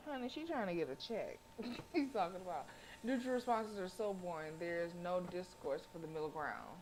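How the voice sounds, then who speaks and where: A woman game streamer with a fairly low voice. She talks casually and sassily, slipping between slangy commentary and reading aloud. Faint noise sits behind her. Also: expressive, normal speed, a little mellow, low-quality recording. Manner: sassy, slangy.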